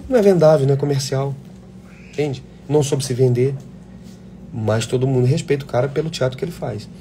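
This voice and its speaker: A man who works as an actor speaks to aspiring performers in a loose, live-chat way, blunt and fired up, cursing freely. His voice is mellow and middle-pitched. A faint hum sits under the recording. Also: brisk. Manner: blunt, swears freely, passionate. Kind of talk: casual live chat answering viewer questions.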